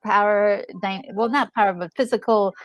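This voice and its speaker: A woman's voice: mid-range voice, steady tone, normal speed. A woman chatting casually as a co-host, thinking aloud and rephrasing as she goes. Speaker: co-host commenting on a game. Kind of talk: casual chat.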